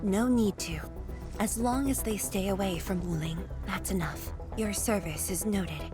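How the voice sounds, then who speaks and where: An actress playing a composed, courteous woman official in a video game, speaking in a formal, measured, authoritative way. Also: medium pitch, music in the background, close to an everyday voice. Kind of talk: video game cutscene acting.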